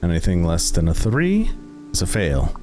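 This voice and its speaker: A man narrating a tabletop roleplaying game as game master, with deliberate dramatic pauses. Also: deep voice, huge pitch swings, a little mellow. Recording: background music under the voice.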